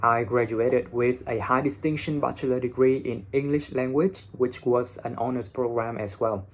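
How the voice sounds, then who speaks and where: A young man from Vietnam with a Vietnamese accent and a slightly husky voice, giving blunt, informal English-learning advice and swearing freely, recorded on a phone. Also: medium pitch, steady tone, normal speed. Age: young adult. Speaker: English teacher and video host. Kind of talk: casual advice talk to camera. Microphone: phone, no separate microphone.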